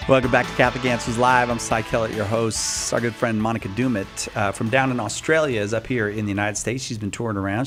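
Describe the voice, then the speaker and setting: A man hosting a call-in radio show, chatty and jokey with frequent filler words. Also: fairly low pitch. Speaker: radio host.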